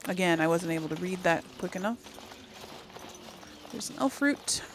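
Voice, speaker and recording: A woman's voice: on the low side, animated, moderate pace, slight background noise. A woman doing a casual let's play, thinking aloud and reading game dialogue options as she role-plays. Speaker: gaming channel host role-playing a video game.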